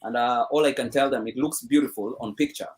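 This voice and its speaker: A man's voice: medium pitch, quick pace. A man hosting a live stream in casual chat, upbeat and often repeating words.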